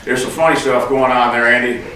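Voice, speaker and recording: A man playing a loud, excitable, folksy cowboy accountant in a comedy skit, drawling with broken grammar and eager to be bought. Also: medium pitch, a little fast, close to an everyday voice. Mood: apologetic, firm. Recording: echoey room, distant mic, background noise.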